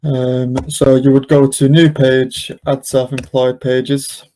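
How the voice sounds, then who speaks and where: A man presenting a live software demo and question session, explaining step by step in an informal, hesitant way. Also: medium pitch, level tone, takes it slowly, poor audio. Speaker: webinar presenter and software demonstrator.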